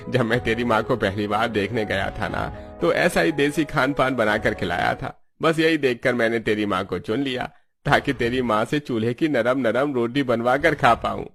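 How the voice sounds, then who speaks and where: A man's voice: mid-range voice, moderate pace, vocal fry, fairly bright tone, soft background music. A man voicing a fond, teasing village husband and father in a cartoon story, affectionate in manner. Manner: affectionate praising. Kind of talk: Hindi cartoon story acting.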